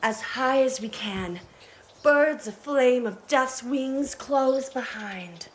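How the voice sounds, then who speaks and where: An ominous adventuring woman, high-voiced and slow. She delivers her lines like dark prophecy in a fantasy audio drama, over noticeable background noise. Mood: ominous, dramatic.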